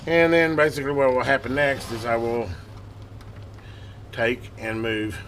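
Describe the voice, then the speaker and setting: A man's voice: mid-range voice, a little slow. A man hosting a hands-on electronics repair video, explaining step by step in an informal way as he works. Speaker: host of a hobby electronics repair channel. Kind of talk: casual hands-on demonstration. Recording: clear background noise.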